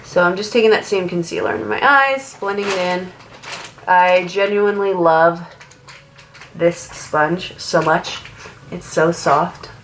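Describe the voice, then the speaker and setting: A woman's voice: on the low side, lively intonation, a little slow, a bit bright, slight background noise, low-quality recording. A young woman and makeup artist who sounds nasal from a cold, chatting casually at home as she does her makeup, full of "like" fillers. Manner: rambling and casual, lots of "like" fillers. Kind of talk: chatty get-ready-with-me talk while doing makeup.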